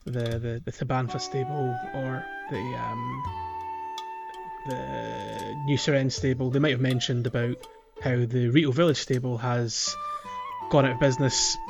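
A man's voice: mid-range voice, level tone, moderate pace, creaky, lo-fi sound, music in the background. A man co-hosting a podcast, chatting casually about a video game, rambling with lots of fillers and little laughs. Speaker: podcast co-host.

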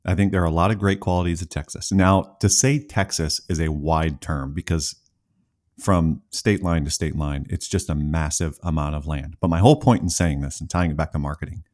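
Low-voiced rambling podcast host. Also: male voice, fairly even intonation, normal speed, darkish tone. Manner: rambling, talkative, self-aware. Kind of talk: casual interview conversation.

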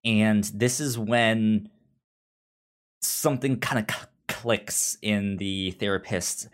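A man co-hosts a casual podcast chat, retelling a story at a slow pace. His voice sits a little low, and his delivery is halting, with word starts often repeated. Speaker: podcast co-host.

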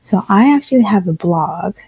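A woman's voice: mid-range voice, lively intonation, moderate pace, dark tone, husky, no room sound, soft background music, low-quality recording. A woman from Italy answering interview questions as a podcast guest in a conversational way. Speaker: podcast guest working in finance.

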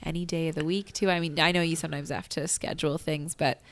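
A woman's voice: sits low, steady tone, fast talker. A woman hosting a casual radio interview in a chatty, affirming way. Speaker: interviewer, radio show host.

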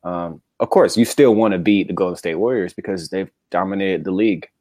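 A man with a deep voice chats about sports as a co-host, recorded close and dry. His delivery is laid-back and conversational, full of casual slang. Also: a little fast, darkish tone, no echo.

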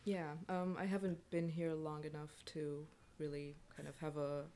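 A woman chatting in a casual panel discussion, tentative and measured in how she offers her view. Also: sits low, level tone, dark tone, a little hiss or hum.

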